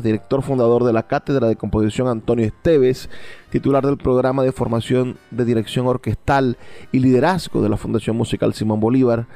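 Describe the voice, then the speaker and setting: A man's voice: medium pitch, warm tone. A man with a Venezuelan accent presenting a radio programme about music and composers. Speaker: radio host.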